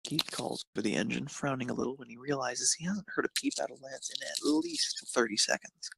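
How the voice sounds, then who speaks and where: A man's voice: mid-range voice, a little fast, gravelly, a bit bright, slightly rough, no echo, poor audio. A man narrating a piece of fan fiction, reading it aloud.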